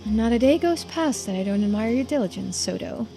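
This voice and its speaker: A woman voicing a composed, dryly commanding senior investigator in a mystery game, with a crisp questioning manner. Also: fairly high pitch, lively intonation, a little fast, mellow, soft background music. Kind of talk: voiced visual-novel dialogue.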